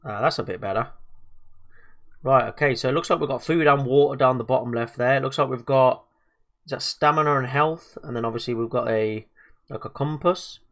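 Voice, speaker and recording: A man's voice: mid-range voice, brisk, fairly bright tone. Man, slightly stuffy from a cold, casual gaming commentary, hesitant, chatty. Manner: chatty, hesitant, lots of fillers and self-talk. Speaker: gaming video host. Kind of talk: casual let's-play commentary.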